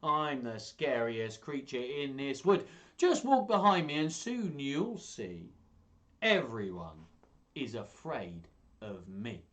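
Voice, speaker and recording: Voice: mid-range voice, big pitch swings, takes it slowly, a bit bright. A performer voicing a small, cunning mouse in a storybook, confident and boastful, with a sing-song delivery.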